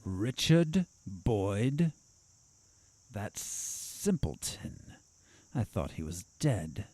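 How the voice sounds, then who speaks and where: Villainous doctor for audio drama, male actor: very deep, theatrically swooping, haughty and deliberate. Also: sing-song, dark tone, no room sound, full character voice. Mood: disdainful, surprised.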